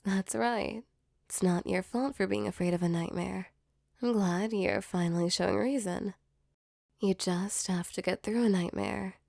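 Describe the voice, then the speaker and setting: Casting: woman as affectionate sleep demon, creaky soft voice, close and dry, reassuring ASMR roleplay delivery. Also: medium pitch, dry recording. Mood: calm, reassuring. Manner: soothing, tender, reassuring. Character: gentle female sleep paralysis demon, affectionate and protective. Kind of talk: ASMR comfort roleplay, soft intimate acting.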